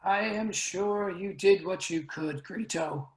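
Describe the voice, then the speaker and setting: A woman's voice: mid-range voice, takes it slowly, darkish tone, natural voice. A woman voicing a sympathetic male councillor in a dramatic reading, consoling and emphatic. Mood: sorrowful, consoling. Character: Mencken, sympathetic male councillor grieving for an old friend. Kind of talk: dramatic reading of a science fiction story. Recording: roomy, echoing video-call audio.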